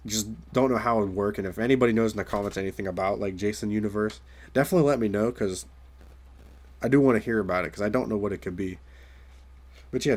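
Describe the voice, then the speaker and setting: A young man with a raspy voice from a recent illness, talking casually to his viewers in a rambling way with many fillers. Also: fairly low pitch, darkish tone. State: raspy voice from getting over illness. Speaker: gaming video creator. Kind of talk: casual solo commentary.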